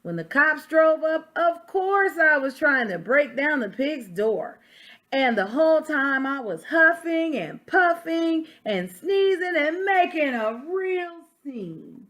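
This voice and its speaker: Woman as an animated, aggrieved storybook wolf. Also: sits high, voice pushed up, heavily acted. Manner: dramatic, emphatic, with drawn-out stressed words. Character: aggrieved male wolf telling his side, folksy and self-justifying. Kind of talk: storybook read-aloud for children.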